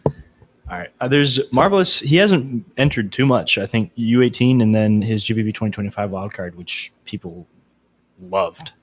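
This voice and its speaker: A man's voice: lowish voice, expressive, normal speed. A man co-hosting a podcast in casual, conversational talk. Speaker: podcast host. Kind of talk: casual chat about beatbox competitions.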